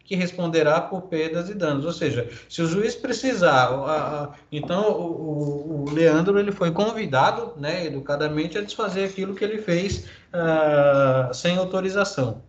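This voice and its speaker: A man's voice: fairly high pitch, moderate pace, hardly any pauses. A man with a Brazilian Portuguese accent, a law professor giving an online lecture, reading legal articles aloud and explaining them with many fillers and tag questions. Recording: echoey, dull lo-fi call audio.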